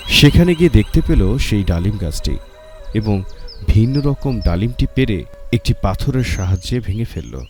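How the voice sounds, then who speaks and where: A man's voice: lowish voice, a little mellow. A man narrating a scripted moral tale as a storyteller for children. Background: music.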